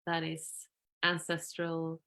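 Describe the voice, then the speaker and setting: Slow, low-voiced woman hosting. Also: completely flat delivery, vocal fry. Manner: slow, thoughtful, with soft affirming murmurs. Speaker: interview host. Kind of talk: live-streamed spiritual interview.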